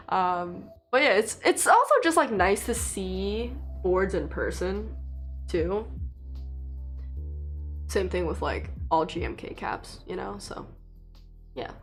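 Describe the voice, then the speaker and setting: A young woman from China, a native Mandarin speaker, chatting casually with viewers on a livestream in a relaxed, rambling way full of fillers. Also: medium pitch, slow, pauses often, faint music behind. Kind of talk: casual live-stream chat with viewers.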